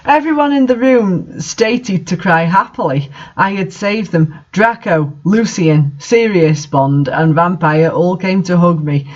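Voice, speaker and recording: High-pitched man reading aloud, mockingly exasperated. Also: unhurried, few pauses, dull audio. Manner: exasperated, incredulous asides. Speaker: fan fiction reader and commentator. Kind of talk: mocking dramatic reading with commentary.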